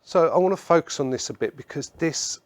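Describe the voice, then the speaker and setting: A man presenting a product webinar in a measured, instructional way, explaining slides point by point. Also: a little high, no echo.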